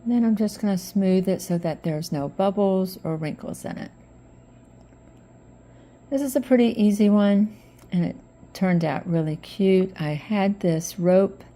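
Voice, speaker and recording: A middle-aged woman with a slightly hoarse voice from allergies, chatting relaxed step by step through a craft tutorial as host. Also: mid-range voice, unhurried. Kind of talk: casual craft tutorial narration.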